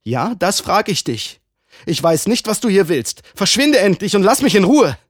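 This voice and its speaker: A man voicing a proud young woman who answers with sharp, defiant scorn. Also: mid-range voice, expressive, normal speed, slightly rough, dry recording, studio quality, pitched above the performer's own voice.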